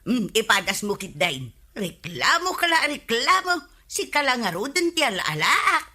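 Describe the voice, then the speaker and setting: A breezy, high-voiced Ilocano spendthrift. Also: female voice, sing-song, a little slow, pushed and bright, husky, heavily acted. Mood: teasing, defensive. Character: carefree woman who keeps buying on credit. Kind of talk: Ilocano comedy radio drama acting.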